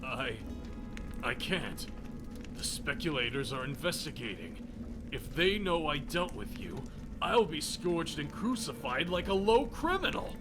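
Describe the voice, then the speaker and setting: A man's voice: on the high side, very animated, a little slow, over music. A man playing a haughty, corrupt Roman-style legion officer in a video game, speaking in a formal, imperious, theatrical way. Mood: anxious. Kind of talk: video game character dialogue.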